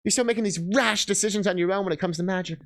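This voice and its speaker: A man's voice: sits high, rapid-fire, gravelly, fairly bright tone. A man voicing a caring but disappointed woman paladin in a fantasy story, earnest, firm and a little hesitant.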